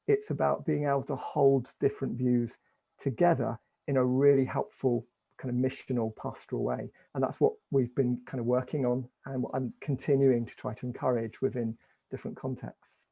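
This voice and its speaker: A man's voice: medium pitch, flat delivery, normal speed, creaky, warm tone, breathy edge, muffled recording. A man from England, a church leader answering on a panel, speaking hesitantly with many fillers and restarts.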